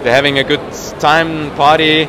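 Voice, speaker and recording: A man's voice: a little high, flat delivery, moderate pace. A man of about thirty, not a native English speaker, giving a casual interview as a professional cyclist, searching for his words. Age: around 30. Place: indoor trade show booth. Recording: noisy trade show hall, background ambience.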